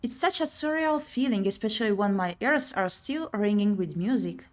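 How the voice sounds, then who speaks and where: A woman's voice: mid-range voice, lively intonation, moderate pace. A woman streamer reading a visual novel aloud in first person and musing over the gameplay. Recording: music under the voice. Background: game music.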